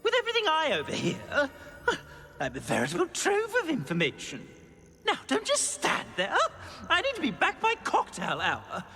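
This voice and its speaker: An actor playing a pompous, snooty talking portrait in a video game, haughty, fussy and theatrically indignant. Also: male voice, very high voice, wildly swooping pitch, moderate pace, sharp tone, big-room sound, soft background music, exaggerated voice. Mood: indignant, self-important. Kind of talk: video game character acting.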